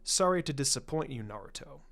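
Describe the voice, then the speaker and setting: A man voicing a calm, laid-back ninja teacher in a story reading, measured and matter-of-fact. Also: medium pitch, voice pushed down. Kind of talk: storybook-style character reading.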